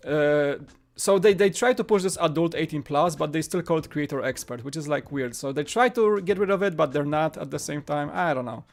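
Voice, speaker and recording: A man in his thirties whose first language is Polish chats casually as a livestream host. His voice sits a little high for a man, and he rambles, circling back to repeat short phrases. Music plays behind him. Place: small home studio room. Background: background music.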